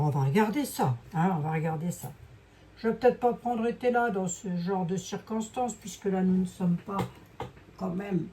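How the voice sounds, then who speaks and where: A woman with a hoarse voice giving a casual, rambling card reading, full of fillers and asides to her viewers. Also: medium pitch, darkish tone. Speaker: clairvoyant doing a card reading. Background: fan noise.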